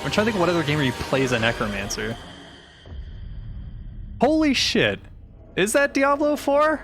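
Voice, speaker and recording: Animated man reacting to game trailers. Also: high voice, moderate pace, over music. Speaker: host of a live reaction show. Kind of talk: casual game showcase commentary.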